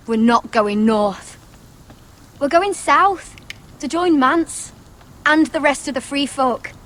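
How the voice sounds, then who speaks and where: Casting: young wildling huntress and protective sister, high voice, curt, scornful, commanding, fantasy game drama. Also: female voice, animated, takes it slowly, a bit bright, heavily acted. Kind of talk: video game drama acting.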